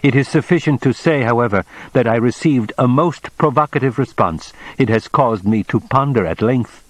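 A man reading a novel aloud, voicing a formal, measured middle-aged Japanese official who speaks with precise, deliberate courtesy. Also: mid-range voice, no echo, pitched above the performer's own voice, natural voice.

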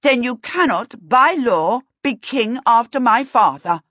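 A woman's voice: on the high side, animated, fairly bright tone, husky, dry recording, dull audio, pitched above the performer's own voice, full character voice. Woman voicing a cool, regal princess. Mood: coolly stern. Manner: regal, measured, stern. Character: cool, very royal princess, firm and composed.

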